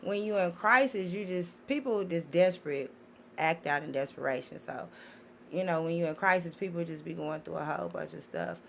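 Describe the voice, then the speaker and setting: A woman's voice: on the low side, moderate pace, vocal fry. An American woman talking candidly and at length in a casual, opinionated group discussion, swearing freely. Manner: talkative, candid, profane. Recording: faint background noise.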